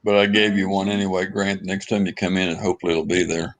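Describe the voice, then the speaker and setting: A man's voice: deep voice, flat delivery, quick pace. A man hosting a live stream panel, talking in an easygoing casual drawl. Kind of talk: casual chat.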